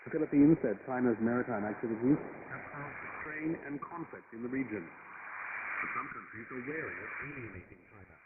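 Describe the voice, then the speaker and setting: A man's voice: mid-range voice, a little fast. A man reading scripted international news in a formal manner as a radio anchor. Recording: noisy, narrowband shortwave radio reception.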